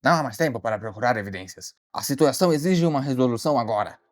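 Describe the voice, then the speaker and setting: Man playing a fast-talking, brooding cartoon detective. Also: medium pitch, expressive, natural voice. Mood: grave, deducing. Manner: theatrical, deliberating aloud, slangy asides. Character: earnest amateur detective, brooding and easily startled. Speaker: detective character in an animated mystery short. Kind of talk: dramatic monologue, animated short voice acting.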